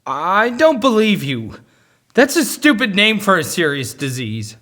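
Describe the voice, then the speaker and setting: Male performer as hot-headed comedy sketch loudmouth: bright, forceful, emphatic, slow-paced with big pitch swings. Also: very animated, full character voice. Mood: distraught, disbelieving. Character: excitable, foul-mouthed, hot-headed man in comedy sketches. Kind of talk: comedy audio sketch acting.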